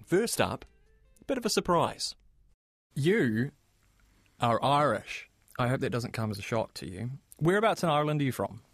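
A man's voice: medium pitch, big pitch swings, moderate pace, long pauses, fairly bright tone, no room sound, faint music behind. A man with a New Zealand accent hosting a podcast, conversational and curious. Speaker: podcast host. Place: radio studio.

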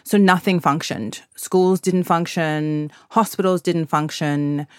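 Low-voiced woman narrating a documentary podcast. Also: steady tone, slow pace, smooth, no echo, clean studio sound. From East Africa.

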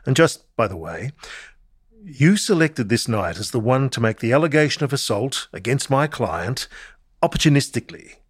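A man's voice: medium pitch, expressive, normal speed, husky, no echo, studio quality. An actor playing a sceptical, accusatory male barrister, making assertive challenges in a re-enacted cross-examination. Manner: assertive statements ending in challenges.

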